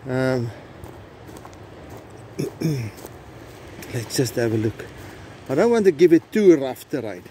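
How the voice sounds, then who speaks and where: Slow-talking South African man outdoors. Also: mid-range voice, big pitch swings, warm tone. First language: Afrikaans. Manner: slow, halting, with many fillers and restarts. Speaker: vlogger host. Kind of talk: casual outdoor vlog narration. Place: outdoors on a coastal trail. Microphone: phone camera held by hand. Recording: faint outdoor noise.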